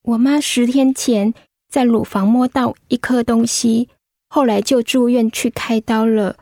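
A woman's voice: a little high, steady tone, measured pace, darkish tone, dry recording, studio quality. A woman playing a timid, worried seventeen-year-old schoolgirl in a radio drama, with a Taiwanese Mandarin accent and a hesitant manner. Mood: frightened, hesitant. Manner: hesitant, anxious.

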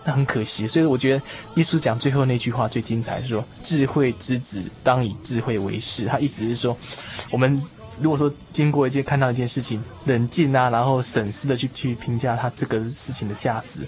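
Fast-talking man hosting. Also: mid-range voice, soft background music. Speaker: radio talk show host. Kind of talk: conversational discussion of faith.

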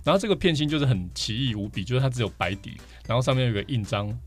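A man with a Taiwanese Mandarin accent talks as an interview guest. He tells his stories casually and throws in playful asides, in a middle-pitched voice with music behind him. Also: lively intonation, very fast. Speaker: podcast guest, vinyl record collector.

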